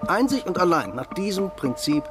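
An actor playing a man who lectures on writing soap scripts, slow and emphatic, in a radio play with music behind. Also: high voice, big pitch swings. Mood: lecturing.

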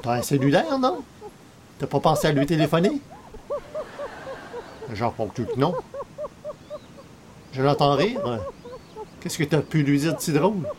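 Actor as wry, lively man, Quebec French accent. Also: on the high side, a little slow, pauses often, noisy background. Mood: amused, teasing. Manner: colloquial, dryly teasing. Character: wry, easygoing male pal, amused and teasing. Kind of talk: 1940s-style radio comedy acting.

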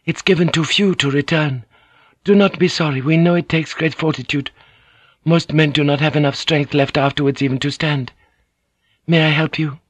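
A man voicing a gentle, proud young Japanese noblewoman, speaking softly and formally. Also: on the high side, steady tone, normal speed, no echo, pitched above the performer's own voice, natural voice. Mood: gentle, earnest. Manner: soft and formal.

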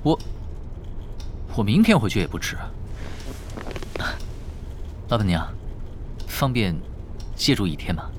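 A man's voice: lowish voice, sing-song, a little slow, pauses often, a little hiss or hum. An actor playing a polite, earnest and persistent young man, a younger twin brother, in a radio drama. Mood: courteous, hopeful. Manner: courteous, earnest.

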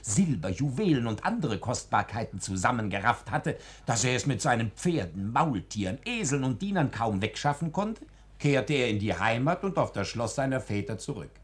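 A man's voice: medium pitch, sing-song, normal speed, lightly acted. A man playing a wry, folksy chronicler in a 1940s German radio drama, telling the tale ornately and tongue-in-cheek. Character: wry, folksy male chronicler addressing good people. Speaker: narrator in a radio play.

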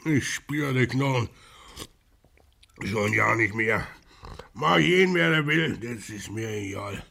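Radio play casting: lazy, surly working man, slow gravelly delivery, German dialect, grumbles in few words. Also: mid-range voice, expressive, pushed and bright, full character voice. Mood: grumpy. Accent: German regional dialect. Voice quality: gravelly, rough. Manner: grumbling, terse. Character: sullen, lazy, grumbling working-class husband.

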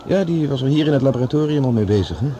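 An actor playing a friendly, thoughtful scientist on a Mars project in a Dutch science fiction radio drama. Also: male voice, medium pitch, lively intonation, very fast, mellow, a little hiss or hum, close to an everyday voice. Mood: explaining, businesslike.

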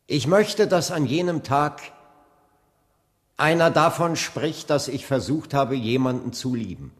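A man's voice: medium pitch, lightly acted. An actor playing an earnest civil rights preacher in his late thirties in a German radio drama. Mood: solemn. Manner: measured, deliberate oratory when preaching. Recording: long hall reverb.

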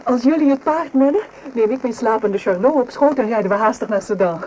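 A woman's voice: a little high, brisk, darkish tone, slight background noise, close to an everyday voice, low-quality recording. A woman acting a tender, hesitant young woman in wartime, in a Dutch radio play. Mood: urgent.

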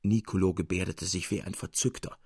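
Gravelly male literary narrator. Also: low voice, steady tone, brisk, a little mellow, dry recording, clean studio sound. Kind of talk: expressive literary audiobook narration.

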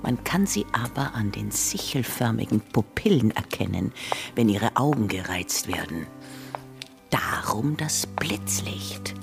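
A woman's voice: rumbling low, big pitch swings, unhurried, vocal fry, soft background music, heavily acted. An actress playing a stern, formal, commanding woman who believes in conspiracies, with an Austrian accent, in a radio drama. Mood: earnest, secretive. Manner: stern, formal, earnest, imperious. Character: commanding, conspiracy-believing wife leading a separatist group.

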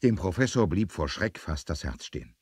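Male narrator, low voice, lively intonation, suspenseful emphasis, vintage adventure radio play, dry close sound. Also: normal speed, slightly rough, dry recording. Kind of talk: dramatic scripted storytelling for 1970s adventure radio play.

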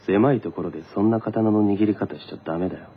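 Man playing laconic, deadpan teenage tennis boy. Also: lowish voice, fast talker, slightly rough, narrow, tinny audio, pitched below the performer's own voice. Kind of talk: radio drama skit acting.

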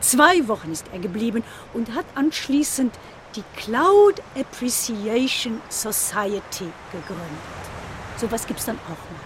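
A woman's voice: a little high, expressive, a little slow, a little hiss or hum. A woman playing a tour guide in a radio play, talking in an informal, conversational, anecdotal way. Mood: chatty, anecdotal. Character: chatty, informal female tour guide at a seaside visitor site. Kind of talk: casual guided-tour talk.

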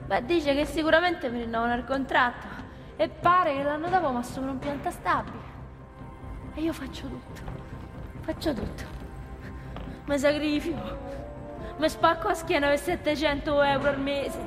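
Very high-pitched Roman working-class woman, played by an actress, plaintive and emphatic, radio drama over music. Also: moderate pace, pauses often, lightly acted. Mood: hopeful irony. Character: exasperated pregnant working-class woman in her thirties. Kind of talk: theatrical radio drama monologue. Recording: reverberant theatre with music behind.

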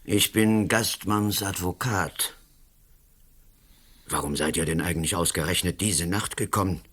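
An actor playing a pompous, imperious national councillor and colonel in a radio play, with a Swiss accent and a gravelly, husky voice. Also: male voice, sits low, unhurried, a bit bright. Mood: curt, self-important.

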